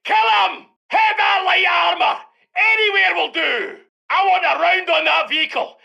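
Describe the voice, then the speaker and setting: Gruff, combative Scottish tank commander for a war game; high-pitched for a male, gravelly and intense. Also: a little slow, bright, forceful tone, shouting, highs cut off, a faint crowd, full character voice. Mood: fierce, commanding. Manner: barking, emphatic military commands. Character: gruff, aggressive Second World War British tank commander. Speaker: game voice actor. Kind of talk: video game unit voice lines.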